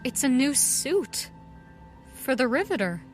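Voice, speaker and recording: A woman's voice: sits high, sing-song, measured pace, loud music behind the voice. A woman playing a plucky, sarcastic sidekick heroine, wry and informal, in a radio drama. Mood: amazed, hesitant.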